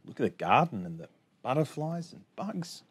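A man in his forties hosting storytime, reading a picture book aloud to children in an expressive sing-song way with chatty asides. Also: medium pitch, moderate pace, husky. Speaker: storytime host. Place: small indoor space among bookshelves.

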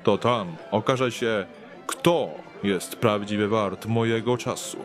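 An actor playing a haughty, greying, wealthy lord in an audio drama, speaking with formal, nonchalant condescension. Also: male voice, lowish voice, slow pace, soft background music. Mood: cool dismissal.

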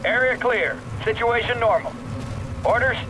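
An actor playing a gruff, blunt marine sergeant who reports in clipped military phrases in a game. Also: male voice, extremely high pitch, measured pace, background noise. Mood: blunt, businesslike. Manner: clipped military radio talk. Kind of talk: game voice acting.

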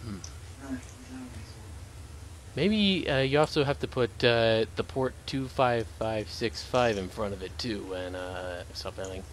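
A man's voice: lowish voice, a little slow. A man in casual online game chat, joking mischievously with friends. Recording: faint background noise.

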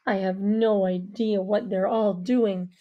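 A woman voicing a guarded, dismissive young man in a story, pitched lower than her narration. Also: steady tone, takes it slowly, lo-fi sound, lightly acted.

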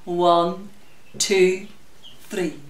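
A middle-aged woman hosts a story time for small children. She speaks very slowly and expressively, stretching out her words in a sing-song way. The recording is roomy and a little distant, with faint noise. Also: lowish voice, little pitch movement. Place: glass-walled conservatory at home. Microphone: camera mic a little way off.

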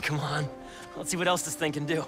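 A man acting as an excitable young hero in a video game cutscene, fairly high in voice and boyishly casual. He is earnest and eager about his new powers, with loud music behind him. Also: brisk, close to an everyday voice. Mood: playful, probing. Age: young adult.

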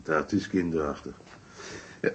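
An actor playing a gruff, blunt male village rope merchant in a radio play, with a husky voice. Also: very deep voice, measured pace, full character voice. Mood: curious, gruff.

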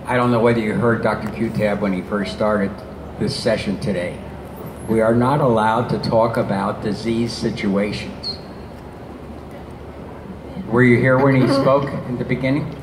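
A man's voice: fairly low pitch, steady tone, measured pace. A man on a convention panel asking blunt, probing questions. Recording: echoey convention hall with noise.

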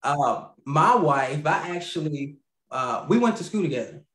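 Male guest, 30s, rambling storyteller, lots of fillers, slightly high voice, echoey video-call audio. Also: animated, unhurried, a bit bright, a little hiss or hum. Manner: rambling, laughs mid-sentence, many fillers and restarts. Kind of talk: casual storytelling. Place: home room.

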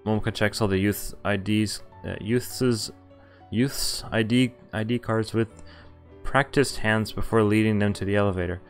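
A man's voice: lowish voice, fairly even intonation, moderate pace, faint music behind. A young man streaming a visual novel, reading the narration aloud and cutting in with wry, exasperated asides. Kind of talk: casual reading with commentary.

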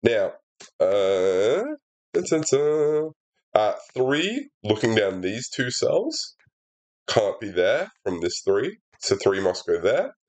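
A man's voice: lowish voice, slow pace. Stuffy-nosed young man explaining a puzzle. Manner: chatty and relaxed, many fillers, explains steps aloud. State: congested, stuffy sinuses. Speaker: puzzle setter and walkthrough host. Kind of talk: casual sudoku solving walkthrough. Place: indoor room at a desk. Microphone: laptop built-in mic.

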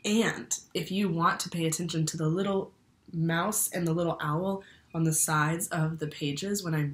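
Young woman reading aloud in a roomy space. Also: on the low side. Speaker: teacher reading aloud to schoolchildren. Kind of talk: read-aloud of a children's picture book. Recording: roomy indoor echo.

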